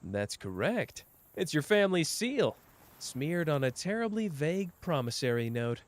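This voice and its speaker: A man's voice: on the high side, measured pace. A man playing a teasing young detective. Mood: calm questioning. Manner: playful, teasing, jumps between soft and excited. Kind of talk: ASMR roleplay.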